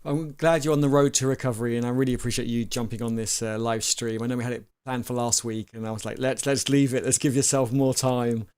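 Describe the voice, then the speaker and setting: A man with an average-pitched voice hosts a live stream over a video call. He talks in a loose, casual way, stumbling over repeated words and acting out what people say with animated swings. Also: quick pace, hardly any pauses, smooth.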